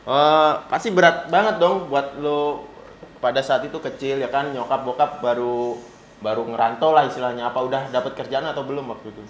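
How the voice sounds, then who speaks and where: A man's voice: medium pitch, fairly even intonation, brisk. A young man, hosting a casual chat in a slangy, joking way. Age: young adult. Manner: slangy, joking, loud greetings. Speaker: host. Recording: echoey room with noise.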